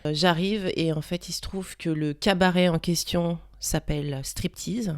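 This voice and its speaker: A woman guest telling an anecdote in casual, slangy talk-show style, animated and self-mocking. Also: fairly low pitch, measured pace.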